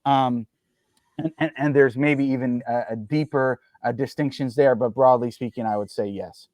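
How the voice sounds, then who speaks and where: A man's voice: mid-range voice, fairly even intonation, moderate pace, darkish tone, dry recording. A man from New Jersey talking as an interview guest, explaining his views carefully with many ums and uhs and careful qualifications.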